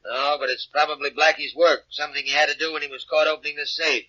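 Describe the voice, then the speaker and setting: A forceful, brusque police inspector. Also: male voice, a little high, flat delivery, quick pace. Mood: grim. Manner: commanding, clipped. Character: brusque, ambitious police inspector eager to catch his man. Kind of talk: 1940s radio drama acting. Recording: dull, lo-fi old radio recording.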